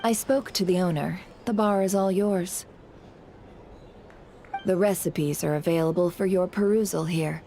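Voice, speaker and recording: A woman's voice: on the low side, measured pace, pauses often, warm tone, slight background noise. A woman playing a poised, shrewd and influential lady in a game, speaking with formal composure and persuasion. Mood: smooth, confiding. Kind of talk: scripted game voice acting. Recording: music behind the voice.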